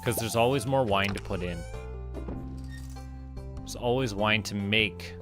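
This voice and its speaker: Guy from canada streaming a game, kinda deep voice, chatty thinking out loud, music playing behind him. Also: measured pace. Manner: thinks aloud, chatty self-talk, playful. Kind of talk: casual game stream commentary.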